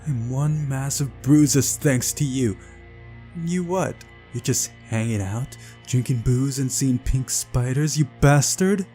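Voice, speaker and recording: A man's voice: medium pitch, takes it slowly, mellow, faint music behind, pitched above the performer's own voice, natural voice. A man voicing a fiery teenage girl in a visual novel, blunt, exasperated and scolding. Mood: angry scolding.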